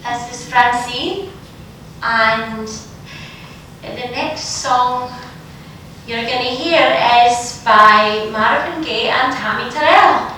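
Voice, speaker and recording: An actress playing a hesitant woman making an amateur radio announcement, halting and tentative. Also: bright, forceful tone. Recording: very echoey, distant, faint rumble.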